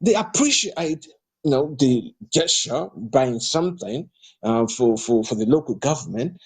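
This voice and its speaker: A man's voice: medium pitch, lively intonation, unhurried, breathy edge. A man from Nigeria, speaking for a political party in an audio discussion, hesitant with many fillers and restarts but growing emphatic when criticising politicians. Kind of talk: audio discussion replying to a participant.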